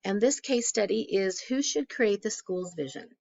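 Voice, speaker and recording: A woman with a crackly, hoarse voice from a cold, presenting a class case study conversationally with frequent fillers. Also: mid-range voice, moderate pace, a little mellow. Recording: dull lo-fi recording.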